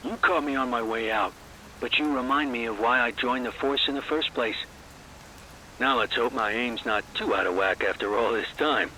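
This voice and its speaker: A man's voice: mid-range voice, normal speed, background noise, lightly acted. An actor playing a loyal, earnest veteran police marksman, middle-aged, in a video game drama. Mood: steady, encouraging.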